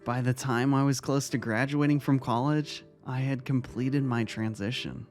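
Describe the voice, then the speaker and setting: A man acting a kindly, caring male vice-principal and mentor in a dramatic story reading, speaking gently and earnestly. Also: mid-range voice, fairly even intonation, normal speed, lightly acted. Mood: reflective, confiding. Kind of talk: dramatic reading of fan fiction. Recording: music under the voice.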